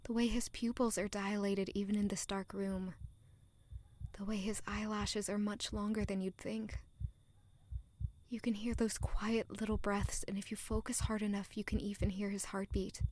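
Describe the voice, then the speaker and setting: An actress playing a playful, observant young noblewoman in an audio drama, with a soft, expressive delivery. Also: mid-range voice, moderate pace, lightly acted. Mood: hushed, intimate. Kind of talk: audio drama dialogue and first-person narration.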